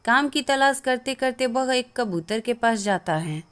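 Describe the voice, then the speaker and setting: Female narrator for children's fables, slightly high voice, scripted storytelling. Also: expressive, measured pace, clean tone.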